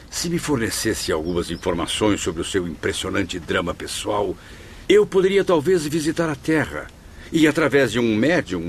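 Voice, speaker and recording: A man's voice: mid-range voice, slight background noise. An actor in a radio drama playing the spirit of a proud Portuguese male novelist, florid, formal and theatrical.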